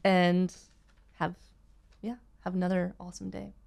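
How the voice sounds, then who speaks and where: A woman's voice: fairly low pitch, completely flat delivery, a little slow, gravelly, a little mellow. A Canadian woman hosting a sports show, chatting casually in an upbeat, enthusiastic way. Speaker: host of a daily sports show. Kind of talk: casual panel chat.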